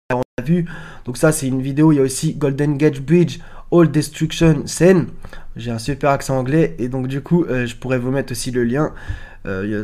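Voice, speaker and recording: A man's voice: mid-range voice, darkish tone. Rambling man giving informal video commentary. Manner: rambling, explanatory, insistent, often trailing off with "et cetera". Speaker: online video host. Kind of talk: informal screen-share commentary on film posters.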